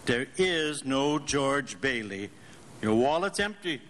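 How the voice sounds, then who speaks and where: An actor playing an innocent, quaint, elderly guardian angel with a guileless, whimsical manner in a live radio drama. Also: male voice, medium pitch, big pitch swings, a bit bright. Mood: solemn, gentle. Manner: guileless, whimsical, unhurried explaining. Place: theatre stage. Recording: live stage radio play with music.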